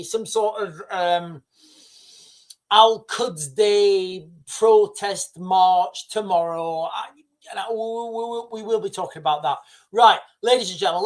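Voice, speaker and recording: A middle-aged man hosting a casual news livestream, talking straight to his viewers with many restarts and asides. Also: high voice. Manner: excitable, addresses viewers directly, rambling with restarts.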